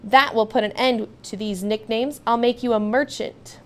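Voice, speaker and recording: A woman acts out a domineering merchant father from a storybook. He is loud, angry and commanding, in a fairly high voice that pushes hard on his orders. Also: steady tone, normal speed, lightly acted.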